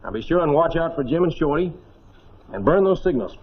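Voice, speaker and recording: An American man playing a steady, caring airman skipper who gives matter-of-fact orders in a 1940s film drama. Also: a little high, a little fast, breathy edge. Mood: steady, concerned. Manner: instructive, matter-of-fact, encouraging. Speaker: actor. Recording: muffled 1940s film soundtrack, faint music.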